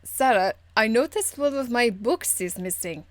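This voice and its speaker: A woman's voice: a little high, lively intonation, normal speed, dry recording, close to an everyday voice. Woman playing a stern, formal warrior woman, dry recording. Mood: weary, disapproving. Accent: foreign, European-tinged. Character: stern, proper female warrior seeker, weary and formal. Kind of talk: video game character acting.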